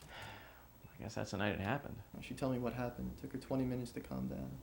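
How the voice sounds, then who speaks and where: A man playing a troubled son in an audio drama, giving testimony in a halting, confiding way. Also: medium pitch, gravelly, lightly acted. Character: anguished son recalling his father's clash with his girlfriend. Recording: faint background noise.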